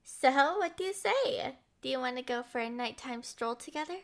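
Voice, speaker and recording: A young woman acting a playful, headstrong, lovestruck princess in an expressive roleplay audio. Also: high voice, normal speed, gravelly. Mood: playful, eager. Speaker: voice actor.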